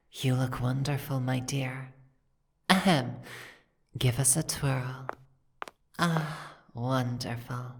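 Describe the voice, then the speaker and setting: A woman playing an imperious, seductive dragon aristocrat in an ASMR roleplay, with languid, commanding, formal speech and a breathy, gravelly voice. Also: rumbling low, background noise, full character voice. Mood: pleased, charmed. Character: imperious seductive female dragon, possessive aristocratic mansion owner. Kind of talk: ASMR roleplay, scripted second-person acting.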